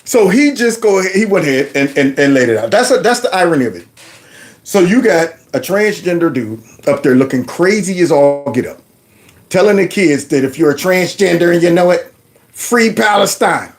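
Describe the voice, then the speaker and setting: A middle-aged man hosting a commentary show, mocking and animated with loud outbursts. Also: high voice, moderate pace. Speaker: host. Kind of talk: commentary vlog reacting to clips.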